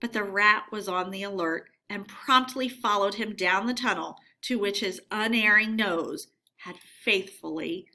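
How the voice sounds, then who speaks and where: A woman narrating a storybook read-aloud in an expressive, measured, teacherly way. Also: mid-range voice.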